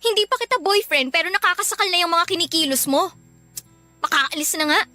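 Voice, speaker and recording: A woman's voice: very high-pitched, animated, a little fast, pushed and bright, dry recording, faint music behind, exaggerated voice. An actress playing an evasive, easily irritated young college woman in a Filipino radio drama, with a Filipino accent. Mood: irritated, snapping. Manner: exasperated, dismissive.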